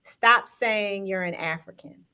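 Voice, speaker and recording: A woman's voice: mid-range voice, unhurried, pushed and bright, clear, resonant tone. A woman hosting a live-streamed talk show, speaking directly to viewers in an upbeat, engaging way. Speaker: host.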